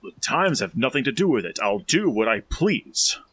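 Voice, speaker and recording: Imperious chemist male actor, old-radio style: husky, forceful, swinging emphasis, curt dismissal. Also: medium pitch, big pitch swings, normal speed. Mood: cold, dismissive. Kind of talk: 1940s radio drama acting.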